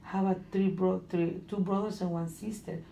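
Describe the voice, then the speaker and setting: A middle-aged woman from Honduras with a Spanish accent, speaking English as a second language in casual conversation, halting and often searching for words. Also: on the low side, steady tone, gravelly, dark tone. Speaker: interviewee. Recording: echoey room with faint noise.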